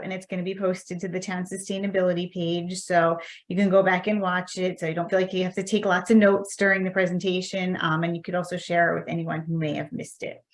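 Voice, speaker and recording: A woman who works as a town environmental specialist, hosting and introducing an online workshop over a video call in a friendly, organized way. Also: medium pitch. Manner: friendly, organized, some fillers.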